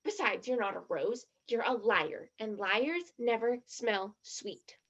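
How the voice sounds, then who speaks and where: A woman's voice: on the high side, lively intonation, slow, fairly bright tone, exaggerated voice. An actress in a stage play as a blunt, skeptical, dramatic teenage girl, in a slightly muffled recording. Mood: indignant, accusing.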